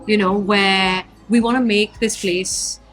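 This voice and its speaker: A woman's voice: medium pitch, steady tone, slow, a bit bright, soft background music, low-quality recording. A woman hosting an interview, effusive and enthusiastic about her guest. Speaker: podcast host.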